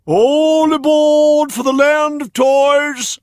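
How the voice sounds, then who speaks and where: A man's voice: very high voice, steady tone, slow, dry recording, clean studio sound, voice pushed up. A man voicing a jolly, beguiling coachman in a children's storybook. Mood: hearty, calling out. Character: jolly, smooth-talking coachman luring boys away.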